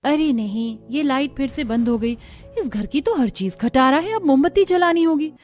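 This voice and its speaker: A woman's voice: sits high, expressive, normal speed, a little mellow. A woman voicing an exasperated young daughter-in-law in a family cartoon, with a complaining, exclamatory manner. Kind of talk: Hindi family cartoon storytelling.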